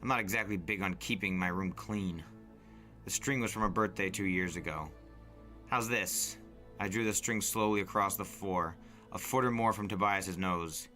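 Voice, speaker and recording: A man narrates a story in a fairly low, bright voice. His reading is expressive and suspenseful, in a dramatic audiobook style, with light music behind him. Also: moderate pace. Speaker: narrator and reader. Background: music bed.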